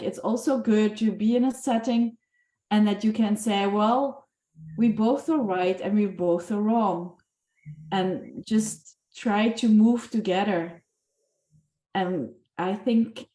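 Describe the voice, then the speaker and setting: A woman taking part in an online group discussion, earnest and halting, often restarting her sentences. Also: mid-range voice, dark tone. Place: video call. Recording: echoey room.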